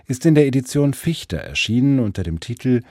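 A man's voice: medium pitch, big pitch swings, fairly quick, a little mellow, no room sound. A man working as interviewer and host of a cultural radio programme, speaking in a studio.

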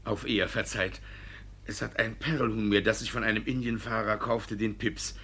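A man's voice: fairly low pitch, fairly even intonation, moderate pace, bright, forceful tone, breathy edge, full character voice. A man playing a shifty, flustered, blustering village judge in a classical verse radio drama, stammering and pompous. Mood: flustered, evasive.

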